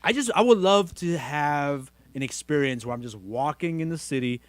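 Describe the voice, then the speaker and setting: A man's voice: expressive, normal speed, no echo. A young man, hosting a podcast in casual, rambling, jokey chat. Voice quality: deep voice. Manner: rambling, jokey, many fillers. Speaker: podcast host.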